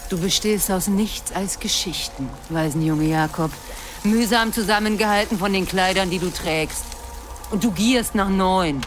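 A woman's voice: medium pitch, a little slow, slight background noise, lightly acted. An actress playing an eerie, ancient forest witch in a radio drama, cryptic and taunting as she speaks in riddles. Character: eerie forest witch calling herself old crow, ancient yet young-looking. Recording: music behind the voice.

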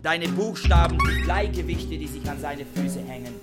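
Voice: medium pitch, normal speed, loud music behind the voice, close to an everyday voice. A performer in a German radio play voicing the solemn echo of a fanatical scholar's thoughts in incantatory, theatrical declamation. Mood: exalted, martial.

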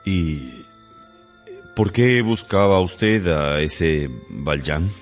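An actor playing a magnanimous, guarded mayor in a radio drama, with a gentle, measured, paternal manner. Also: male voice, sits low, lively intonation, faint music behind, full character voice. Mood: guarded surprise. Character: magnanimous, guarded town mayor with a hidden past.

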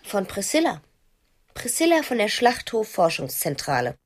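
An actress playing a restless, quick-witted wife who bluffs her way along, cool and sardonic, in a radio play. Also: mid-range voice, sing-song. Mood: brazen, indignant. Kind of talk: 1990s German radio play acting.

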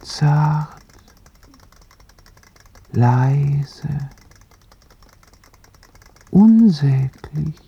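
A woman narrating a poetic radio drama in a slow, hushed and reflective way. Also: sits low, lively intonation, gravelly, husky, lo-fi sound.

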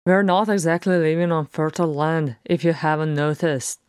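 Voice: medium pitch, steady tone, normal speed, clean tone, no room sound, close to an everyday voice. An irreverent dwarf warrior, as a storybook narrator plays him, breezy and sardonic. He speaks up boldly in a colloquial swagger, in a dry, close recording. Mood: cocky. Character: irreverent, wry male dwarf warrior, loud and cocky.

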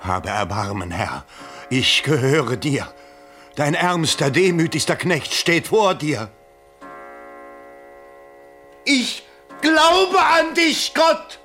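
A pompous, excitable bourgeois politician in a theatrical radio play. The voice is bright and forceful, swooping widely in pitch. He draws his words out slowly and declaims with self-dramatizing exclamation. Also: faint music behind, heavily acted. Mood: ecstatic pleading. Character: pompous, agitated bourgeois election candidate, husband and father.

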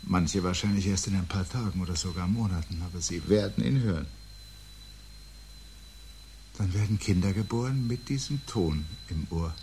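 An actor playing a resigned, brooding man awaiting the end of the world, speaking gravely and deliberately in a radio drama. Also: sits low. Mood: grim, resigned. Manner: grave, deliberate, literate and reflective. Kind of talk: 1970s German radio drama acting. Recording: 1970s radio recording with loud hum.

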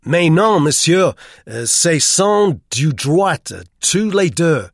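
A man's voice: fairly high pitch, sing-song, no echo, studio quality, pitched above the performer's own voice, full character voice. A man voicing a young woman with a hesitant, doubtful manner in an audiobook. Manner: doubtful, halting speech. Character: hesitant, giggly young American woman, helpless, friendly.

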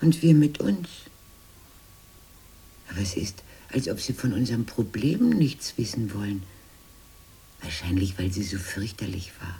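An actress playing an anxious, bitter, traumatised grown-up sister in a radio drama, in a husky, breathy, low voice with a fretful, accusing manner. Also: female voice, animated, measured pace, pauses often, mellow, exaggerated voice. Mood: hushed, brooding. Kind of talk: 1980s German radio drama acting.

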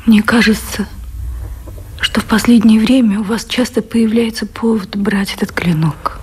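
A loving gentle woman in a radio play, super breathy half-whispered voice. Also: mid-range voice, fairly even intonation, measured pace. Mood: tender, worried. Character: tender, devoted woman in love with the admiral. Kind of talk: intimate radio drama acting.

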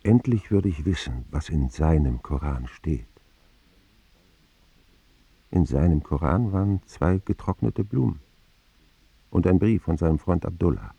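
An actor playing a grown man recalling his boyhood, narrating a radio drama in a measured, reflective, understated way with a husky voice. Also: lively intonation, warm tone, no echo, exaggerated voice. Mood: reflective, subdued. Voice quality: very deep, husky, gravelly. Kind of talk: scripted first-person radio drama narration.

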